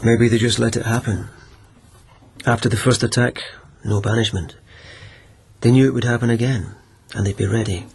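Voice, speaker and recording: An actor playing a lovelorn, gentle lieutenant of old Japan in a radio drama, quiet and intimate in manner. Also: male voice, mid-range voice, fairly even intonation, normal speed, gravelly, slight background noise, lightly acted. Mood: pondering.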